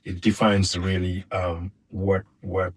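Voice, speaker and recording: A middle-aged man with a very deep, husky voice. He talks in a halting way, restarting phrases and repeating words as he finds his thought. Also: monotone. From Senegal. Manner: hesitant, repeats words while searching for them. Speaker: guest filmmaker. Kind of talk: casual interview.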